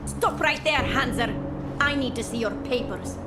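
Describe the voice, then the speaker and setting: An actress playing a menacing, contemptuous street guard in a video game, curt and commanding. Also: female voice, high-pitched, moderate pace, close to an everyday voice. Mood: hostile, threatening. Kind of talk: video game cutscene acting. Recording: loud music behind. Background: music.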